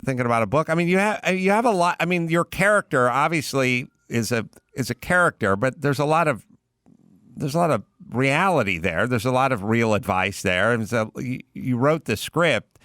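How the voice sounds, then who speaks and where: A middle-aged man hosting a casual podcast interview, asking questions in a relaxed, thoughtful way. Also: medium pitch, moderate pace, no room sound, clean studio sound. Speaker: podcast host.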